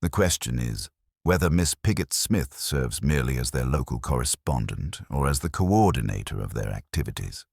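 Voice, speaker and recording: A man voicing a courteous, thoughtful police inspector, calmly shrewd, speaking in a measured, formal way in an audiobook mystery. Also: a bass voice, gravelly, slightly rough, no echo, clean studio sound, full character voice.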